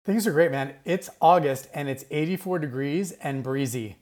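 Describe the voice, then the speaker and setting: A man's voice: a little high, normal speed, darkish tone. A man co-hosting a tech podcast, chatty and enthusiastic. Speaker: podcast co-host. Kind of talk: casual podcast chat.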